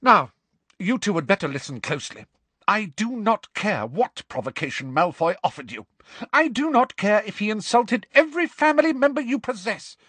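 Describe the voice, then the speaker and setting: A strict, furious schoolmistress from a storybook, pitched far above a normal male voice. The delivery is clipped and scolding, with big swings in pitch and a close, dry sound. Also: big pitch swings, normal speed, fairly bright tone, slightly rough, no echo, exaggerated voice. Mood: stern, angry.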